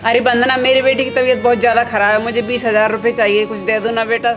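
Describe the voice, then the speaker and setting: A woman's voice: fairly high pitch, level tone, quick pace, natural voice. A woman playing a desperate poor mother who begs repeatedly in a tearful, pleading voice. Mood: urgent pleading. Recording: music under the voice.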